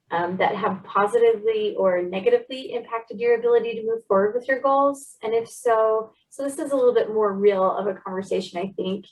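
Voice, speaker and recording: A woman's voice: medium pitch, darkish tone. A woman interviewing a guest, affirming and encouraging her answers. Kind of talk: conversational interview. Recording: voice a bit distant from the mic.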